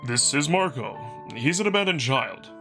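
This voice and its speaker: A man's voice: medium pitch, big pitch swings, moderate pace, gravelly, pushed and bright, soft background music, exaggerated voice. A man voicing a sullen, embarrassed male wolf prince in a visual novel, speaking in a curt, weary manner. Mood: reluctant.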